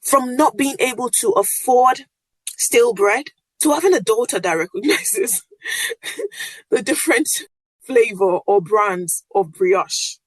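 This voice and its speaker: A woman's voice: fairly high pitch, sing-song, takes it slowly, no room sound. A woman from Nigeria with a Nigerian accent, whose first language is Yoruba, hosting a casual solo podcast in a chatty, upbeat way with frequent laughs. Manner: chatty and upbeat, laughs often, lots of fillers. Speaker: podcast host.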